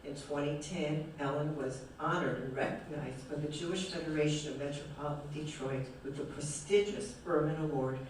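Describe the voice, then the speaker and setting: A man with an American Midwest accent reads a formal introduction of a guest speaker with enthusiasm. His voice sits fairly high for a man. The recording is distant and very echoey, from a large hall, with faint noise. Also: normal speed, hardly any pauses. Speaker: event host introducing the author. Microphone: distant room mic.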